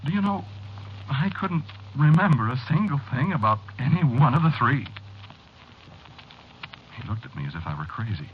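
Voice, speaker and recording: An American actor playing a haunted, rattled businessman who tells his eerie story haltingly and confidingly in a 1940s radio horror drama, on a muffled, noisy old recording. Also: mid-range voice, very animated, close to an everyday voice. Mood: rueful. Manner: halting, repeating himself, confiding. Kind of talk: 1940s radio acting, first-person horror narration.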